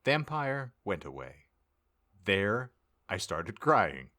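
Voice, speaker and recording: A man narrates a comic audiobook in a deep, bright-edged voice. His pitch swings widely as he reads, giving it a theatrical, mock-serious delivery. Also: very animated, takes it slowly. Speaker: podcast host reading aloud.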